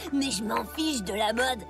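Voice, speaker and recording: A woman's voice: fairly high pitch, expressive, slow, music in the background. A woman voicing a scheming young bully who leads a gang in a cartoon dub, sneering and bossy. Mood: gloating. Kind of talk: animated cartoon dub acting.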